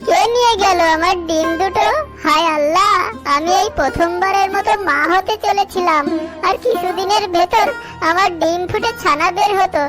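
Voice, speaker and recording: Woman voicing a high-pitched plaintive cartoon mother duck. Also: moderate pace. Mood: distraught. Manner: plaintive, exclamatory. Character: distressed mother duck, trusting and worried about eggs. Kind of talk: children's cartoon voice acting. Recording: music behind the voice. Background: music.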